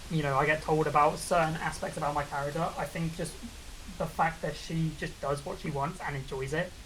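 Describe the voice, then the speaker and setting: A man's voice: fairly high pitch, fairly bright tone, slight background noise, rough recording. A young British man with a regional accent, a VTuber guest chatting casually and rambling with lots of fillers. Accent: British, non-London regional. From Britain. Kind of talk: casual interview chat.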